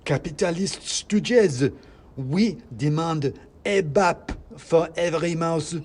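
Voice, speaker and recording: A man's voice: expressive, takes it slowly, a little mellow. A man voicing a fiery male revolutionary mouse who rants in slogans, in a spoken story. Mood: ranting. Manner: shrill, ranting slogans.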